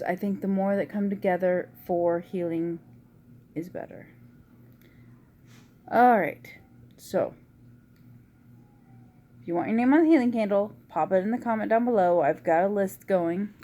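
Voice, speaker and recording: A woman with a quiet, low voice chatting casually in a rambling home vlog. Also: measured pace, pauses often, a little hiss or hum. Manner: rambling, soft-spoken, uses y'all. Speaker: vlogger. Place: at home indoors.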